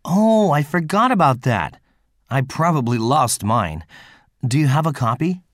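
A man voicing students and a professor in scripted dialogues for a listening exam, with a clear, friendly delivery. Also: fairly high pitch, lively intonation, a little slow, no room sound, studio quality.

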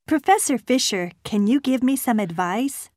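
A woman voicing students, a professor and an office clerk in scripted exam dialogues, with a clear, polite delivery. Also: a little high, lively intonation, normal speed, darkish tone, no room sound, studio quality.

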